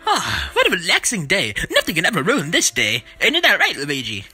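Performer doing a defensive cartoon plumber hero, wildly swooping delivery, bright tone, stammering, comedic game parody. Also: mid-range voice, huge pitch swings, moderate pace, faint music behind, full character voice. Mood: smug, relaxed.

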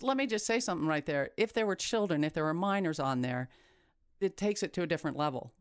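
A man's voice: a little high, expressive, fast, smooth, no room sound, studio quality. A man hosting an investigative interview, asking probing questions and stressing key points emphatically. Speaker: podcast interviewer.

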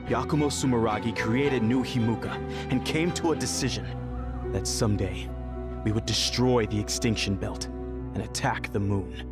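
Guy doing a stern fanatic big brother villain from a video game, bossy n condescending, loud dramatic music behind. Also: medium pitch, lightly acted. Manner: commanding, condescending, declamatory. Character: stern, fanatical, domineering elder brother bent on revenge. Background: dramatic background music.

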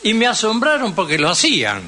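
A man's voice: high voice, huge pitch swings, fairly quick, pushed and bright. An older man with an Argentine accent, chatting casually and telling anecdotes on the radio. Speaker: veteran radio and dubbing professional.

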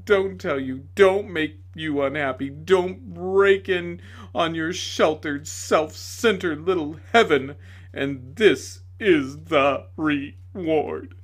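A man reading aloud voices a bitter, theatrical male ghost who declaims slowly and loudly with self-pity. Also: sits high, voice pushed up, exaggerated voice. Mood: bitter triumph. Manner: loud, slow, melodramatic declaiming.

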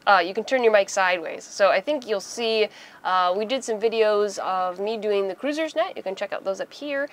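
A woman's voice: medium pitch, moderate pace. A woman in her thirties giving a casual, conversational how-to explanation inside a small boat cabin, using everyday examples. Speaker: host of a sailing how-to video.

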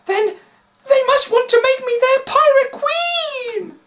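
A male narrator voicing a panicky, shrill woman taken captive, wailing and begging. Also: lively intonation, slow pace, fairly bright tone, heavily acted.

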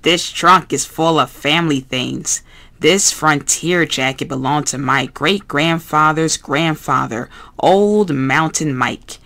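A serious, earnest puppet man from a storybook, as the reader puts him on. He talks slowly and deliberately, as if giving a small lecture, sitting only a little above the narrator's plain voice. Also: mid-range voice, bright, forceful tone. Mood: proud. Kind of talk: storybook read-aloud.

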